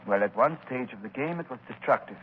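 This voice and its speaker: An actor playing a frank older scientist in a 1930s radio drama, hesitant but matter-of-fact. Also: male voice, medium pitch, expressive, fast talker, lightly acted. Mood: explanatory. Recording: tinny, hissy 1930s radio broadcast.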